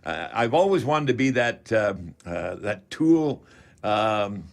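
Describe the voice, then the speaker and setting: A man's voice: on the low side, sing-song, slow pace, creaky. An older man with a Canadian accent hosting a chatty radio call-in show in a folksy, rambling manner.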